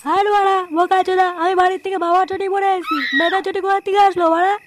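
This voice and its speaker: Man voicing a squeaky, irritable cartoon loudmouth. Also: little pitch movement, very fast, slight background noise. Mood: annoyed, blustering. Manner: gruff cursing, blustering. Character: irritable, foul-mouthed male card player, bossy and brash. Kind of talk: comedy cartoon dialogue.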